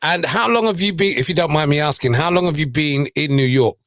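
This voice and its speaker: A man's voice: a little high, brisk, a bit bright, dry recording, studio quality. A man hosting a radio interview show, casual and playfully teasing with his guest.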